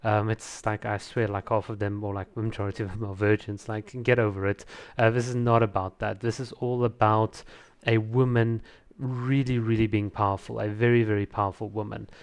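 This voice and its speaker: A man's voice: lowish voice, little pitch movement, brisk, clear, resonant tone. A man reviewing a video in casual commentary, repeating words for emphasis.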